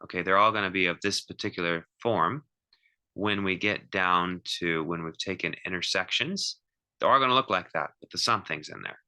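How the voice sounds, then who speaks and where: A male math teacher with a low-pitched voice and a very bright, forceful edge. He explains step by step in an informal lecture style, pausing, restarting and repeating phrases as he thinks aloud. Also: clear, resonant tone.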